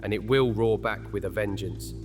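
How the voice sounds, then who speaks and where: An actor playing a grave, calm vampire of an ancient bloodline, speaking in measured, formal tones in an audio drama. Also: male voice, lowish voice, over music, lightly acted. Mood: grave, warning. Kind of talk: dramatic audio fiction acting.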